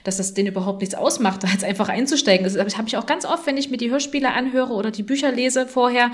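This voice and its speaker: A woman's voice: fairly high pitch, quick pace. A woman co-hosting a podcast in casual chat, often ending phrases by seeking agreement. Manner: often seeks agreement with tag questions. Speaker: podcast co-host.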